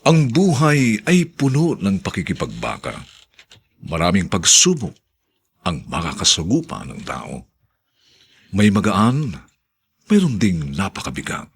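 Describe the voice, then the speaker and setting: A man narrating a radio drama as announcer, solemn and theatrical, with drawn-out emphatic phrases and a husky voice. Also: sits low, wildly swooping pitch.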